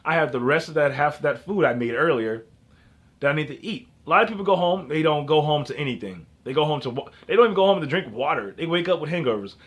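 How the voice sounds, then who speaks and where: A man's voice: on the high side, lively intonation, fast talker. A man in his early twenties talking in a casual motivational vlog, rambling and restarting often as he gives earnest advice. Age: early 20s. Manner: rambling, with frequent restarts and repeats. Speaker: vlogger.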